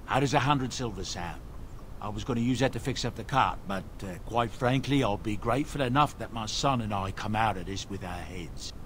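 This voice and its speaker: A man's voice: lowish voice, expressive, a little hiss or hum, close to an everyday voice. A man playing a genial, folksy middle-aged dwarf merchant with courteous manners in a fantasy video game. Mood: grateful, genial. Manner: courteous, folksy, unhurried pleasantries.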